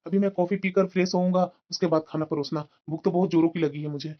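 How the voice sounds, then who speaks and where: Muffled lo-fi audio, high-pitched man acting as cartoon husband, evasive, irritable, doting uncle. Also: steady tone, quick pace, natural voice. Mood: weary. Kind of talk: Hindi moral-story cartoon dialogue.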